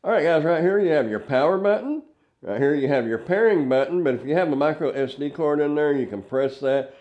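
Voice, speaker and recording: An older man with a mellow, soft-edged voice at a medium pitch talks through a gadget review. He is casual and plain-spoken, explaining each step in simple terms. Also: highs cut off. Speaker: product reviewer. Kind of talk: casual hands-on product review.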